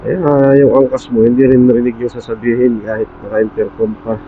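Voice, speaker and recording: Male Filipino motovlogger, mid-pitched, casual and offhand thinking aloud, slightly lo-fi helmet mic sound. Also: flat delivery, brisk. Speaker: motorcycle vlogger. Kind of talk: casual vlog chat while riding. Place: outdoors on a motorcycle at night, in traffic. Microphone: helmet intercom mic under the visor. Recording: dull intercom mic with road noise. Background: faint vehicle and road noise.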